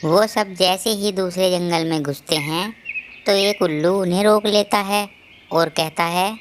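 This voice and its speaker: A woman's voice: fairly low pitch, a little slow, a little hiss or hum. A woman narrating a scripted children's moral story.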